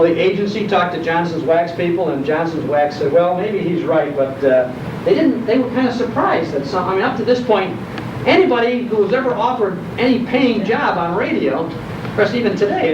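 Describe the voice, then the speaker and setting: A middle-aged or older man giving a nostalgic, opinionated, anecdotal talk to a live audience. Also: high-pitched. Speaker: guest speaker on radio history. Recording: echoey, distant, dull, noisy hall recording.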